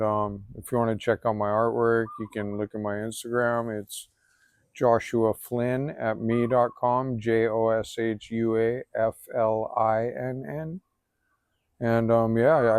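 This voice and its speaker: A man in his forties from Hawaii, a tattoo artist and swimmer, talking in a relaxed, easygoing way as the guest, outdoors after a swim. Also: mid-range voice, clear, resonant tone, no echo. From Hawaii, Oahu. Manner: relaxed, even-toned, filler-heavy. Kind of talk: casual outdoor interview.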